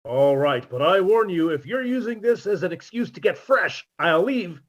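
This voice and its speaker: A man's voice: sits high, animated, normal speed. A man playing a sardonic, heckling boarder who makes dry wisecracks in a radio comedy. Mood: sardonic, needling. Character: sardonic male wisecracking boarder called Maestro. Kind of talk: 1950s radio comedy acting.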